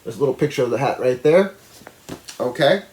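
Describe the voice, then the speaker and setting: A man reads a picture book aloud to young children in an expressive way, pausing to explain pictures and put questions to the listeners. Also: medium pitch, poor audio. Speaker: educator reading a picture book to schoolchildren.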